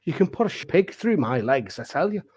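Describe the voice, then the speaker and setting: A man's voice: on the high side, very animated, a little fast, darkish tone, breathy edge. A man chatting casually as a pantomime performer on a panel, speaking emphatically in short bursts.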